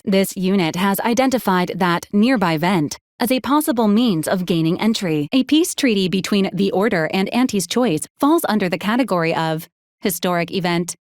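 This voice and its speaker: Game robot assistant, woman actor: mid-range female voice, unfailingly polite, officious wording, droll deadpan, bone-dry studio sound. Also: medium pitch, no echo, lightly acted. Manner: formal, procedural, deadpan. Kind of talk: scripted video game character lines.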